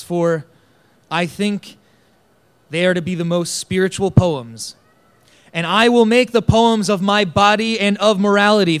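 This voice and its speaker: A man giving a slow, declamatory recitation of a poem. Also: high-pitched, smooth. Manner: measured, declamatory phrasing. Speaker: poetry reader. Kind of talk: slow dramatic poetry recitation.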